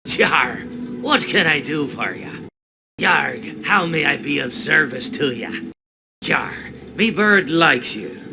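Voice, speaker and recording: A man voicing a gruff but obliging animatronic pirate in a video game, with a stage pirate accent. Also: fairly high pitch, exaggerated voice.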